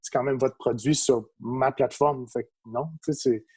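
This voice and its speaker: A man's voice: medium pitch, moderate pace, husky. A young adult man with a Québécois accent, native English speaker, chatting casually as a podcast guest, with lots of fillers and restarts. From Quebec. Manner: relaxed, many fillers and restarts. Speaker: guest, toy photographer. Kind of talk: casual conversation.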